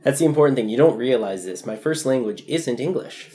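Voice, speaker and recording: Male podcast guest, mid-pitched, playful and jokey. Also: animated, fairly quick, dark tone. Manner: joking, playful. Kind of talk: casual chat about films.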